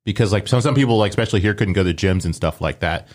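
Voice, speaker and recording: A man hosting a casual interview, relaxed and curious, with casual slang. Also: low voice, rapid-fire. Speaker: host.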